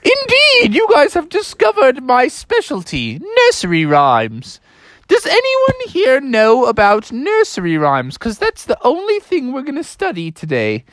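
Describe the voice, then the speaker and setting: A woman's voice: high-pitched, huge pitch swings, a little slow, no echo, voice pushed up, exaggerated voice. A woman voicing a fussy, bossy goose substitute teacher in a storybook tale, emphatic and theatrical. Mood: proud, theatrical. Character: fussy, bossy female goose substitute teacher who loves nursery rhymes.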